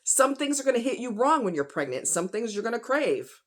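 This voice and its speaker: A woman's voice: a little high, very animated, a little fast, a bit bright. A woman vlogger talking casually and directly to her viewers in a folksy, conversational, emphatic way.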